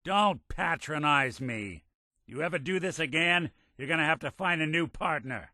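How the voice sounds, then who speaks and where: A man's voice: lowish voice, big pitch swings, moderate pace, fairly bright tone, no room sound, studio quality, exaggerated voice. A male actor playing a world-weary, principled android detective in a video game, with a wry, folksy hardboiled way of talking and old-fashioned slang. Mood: stern. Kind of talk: video game companion dialogue, noir detective.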